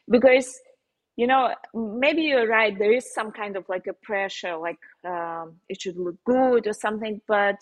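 A woman painter with a Slovenian accent, speaking as a guest in a casual interview, pausing often with fillers and restarts. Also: medium pitch, rough recording. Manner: halting, many fillers and restarts, laughs often.

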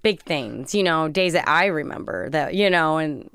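A woman's voice: sits low, animated, moderate pace, vocal fry, a bit bright, smooth, dry recording, studio quality. A woman in her forties talking candidly as a podcast guest, blunt and frank and swearing freely. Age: 40s. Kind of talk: candid casual conversation.

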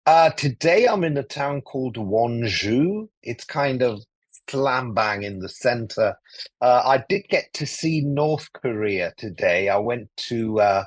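A man in his forties from Greece, talking as a podcast guest in a relaxed, rambling way with many fillers and restarts over a remote call. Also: fairly low pitch, husky, dry recording. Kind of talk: casual interview talk. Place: cozy room.

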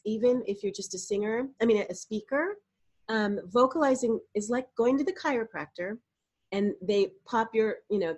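A middle-aged woman answers as a voice coach on a podcast. Her voice sits in a middle pitch, and she explains things in an animated way. She sometimes breaks off to demonstrate vocal exercises.

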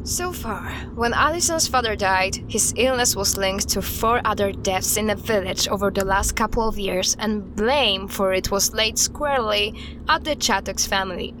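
A woman playing an earnest, guarded expert recounting dark history in an audio drama styled as a recorded interview, with a measured, serious delivery. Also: medium pitch, background noise, lightly acted. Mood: grave, measured.

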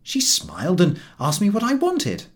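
A man narrating a humorous story as an audiobook reader, in an expressive scripted style. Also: high voice, normal speed.

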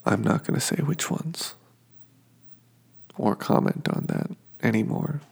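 A sniffly man hosts a sleep podcast, talking very slowly and drifting from one detail to the next with lots of halting fillers. His low voice has heavy gravelly fry and a husky edge. He is speaking from his home kitchen. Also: animated, darkish tone. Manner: meandering, halting, many fillers. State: sniffly from allergies. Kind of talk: slow rambling description for sleep.

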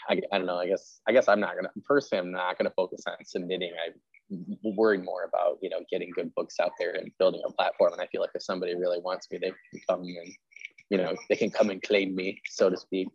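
A man with a deep, creaky voice hosts a loose, informal interview. He rambles in a self-deprecating way, like someone thinking aloud. The sound is dry and slightly muffled, with rough fry in his tone. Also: level tone, quick pace, dry recording. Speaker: podcast host interviewing an author. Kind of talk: casual, rambling interview chat.

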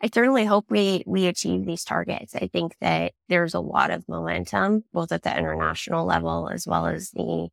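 A woman's voice: fairly low pitch, fairly even intonation, moderate pace, creaky, hardly any pauses, dry recording, clean studio sound. A woman in her thirties, getting over a cold, answering interview questions in a thoughtful, earnest way. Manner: thoughtful, earnest, with small restarts. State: scratchy voice from a cold. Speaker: guest, clean energy startup leader.

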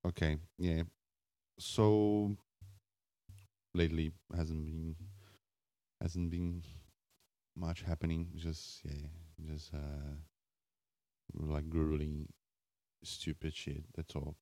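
A man hosting a solo podcast off the cuff in a raspy, just-woken voice, halting and searching for words with wry self-deprecation. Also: a bass voice, flat delivery, dark tone, no room sound. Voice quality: raspy morning voice. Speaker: podcast host.

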